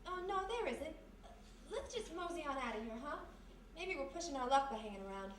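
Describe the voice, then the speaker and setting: A woman playing a perky, quick-thinking detective's sidekick with a coaxing manner, in old-time radio mystery style. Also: high voice, very animated. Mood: evasive, nervous. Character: quick-thinking young female detective's sidekick, former vaudevillian. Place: live performance in a roomy historic house. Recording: echoey live stage, distant mic, hiss.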